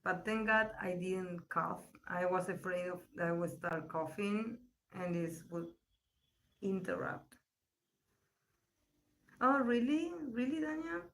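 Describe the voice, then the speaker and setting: A woman reading tarot on a live stream and answering chat questions. Her voice is still recovering, and she talks in a slow, searching way, with pauses and repeated words. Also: on the low side, lively intonation, a bit bright, slight background noise, low-quality recording. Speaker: tarot reader. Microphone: poor live-stream mic.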